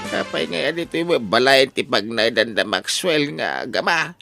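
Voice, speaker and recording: A man's voice: mid-range voice, expressive, normal speed, gravelly, over loud music. A man playing a flustered, stammering elderly father with an Ilocano accent in a comedy audio drama. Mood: flustered, startled. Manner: stammering, hesitant. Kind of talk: comedy radio drama acting.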